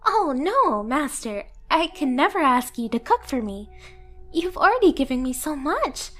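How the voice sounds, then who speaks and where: A woman's voice: high-pitched, sing-song, unhurried, fairly bright tone, exaggerated voice. A woman playing a timid, clumsy young catgirl pet who is eager to please, speaking in a meek, hesitant, apologetic way in an anime-style ASMR roleplay.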